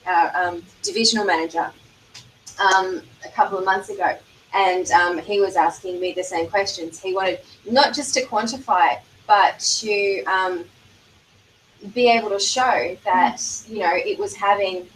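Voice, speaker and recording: A woman's voice: medium pitch, fairly bright tone. Australian woman speaking at a seminar panel. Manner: measured, thoughtful with frequent fillers. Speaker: library event organiser. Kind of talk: panel discussion answer. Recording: echoey room from far off, faint noise.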